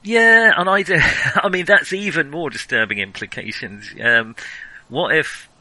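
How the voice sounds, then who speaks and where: A man's voice: fairly high pitch, unhurried, sharp tone, no echo, poor audio. A man co-hosting a casual film discussion, musing aloud and wandering off into tangents. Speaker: podcast co-host.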